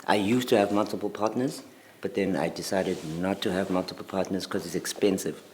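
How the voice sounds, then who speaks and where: Deep-voiced young man, candid. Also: steady tone, brisk, a little mellow, slight background noise. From South Africa. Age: 20s. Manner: candid, laughs while talking. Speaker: filmmaker.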